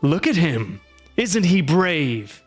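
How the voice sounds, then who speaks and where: A man's voice: a little high, very animated, slow pace, faint music behind, pitched above the performer's own voice, heavily acted. A man voicing a huge, bear-headed male monster who roars threats and grumbles indignantly. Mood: blustering grumble. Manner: roaring, bluster turning to grumbling.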